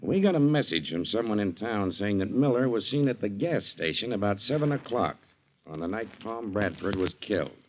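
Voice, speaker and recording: Dull vintage radio recording, male actor as calm firm small-town sheriff, deepish voice, folksy. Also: brisk, slight background noise, lightly acted. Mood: matter-of-fact. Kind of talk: 1940s radio acting.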